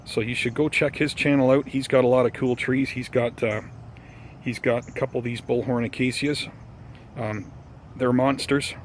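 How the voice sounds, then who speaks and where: A man hosting a casual gardening vlog outdoors, talking informally to viewers in an unhurried, hesitant way. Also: medium pitch, steady tone, slight background noise. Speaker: host of a bonsai gardening vlog.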